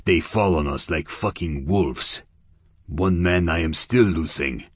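A cold, deliberate Russian criminal, as a male narrator puts him on, speaking broken English in a deep, unhurried growl. The voice sits low and husky, every word weighed. The recording is close and dry. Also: no room sound. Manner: broken English, slow and measured. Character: menacing Russian man in a tan suit.

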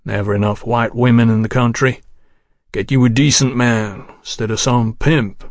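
A man's voice: medium pitch, fairly even intonation, normal speed, dry recording, lightly acted. A man voicing a grim, weary, menacing in an audiobook, with a dry, toneless, terse delivery. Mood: toneless hatred.

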